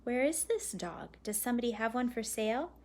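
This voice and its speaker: A woman's voice: fairly high pitch, brisk, darkish tone, close to an everyday voice. A woman voicing a patient, practical mother in a storybook, kind but firm and reasonable. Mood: interested.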